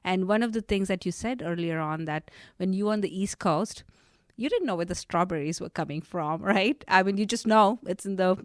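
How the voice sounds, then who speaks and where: A woman's voice: mid-range voice, a little fast, no room sound, clean studio sound. A woman hosting an interview podcast, attentive and affirming as she listens and then reflects. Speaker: podcast host.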